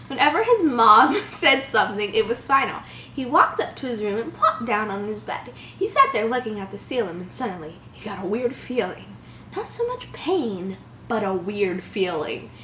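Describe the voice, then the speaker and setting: Animated young woman in an echoey room. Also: medium pitch. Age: early 20s. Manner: giggly, mocking asides, animated. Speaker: channel host. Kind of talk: comedic story reading with casual commentary. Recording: roomy echo, faint background noise.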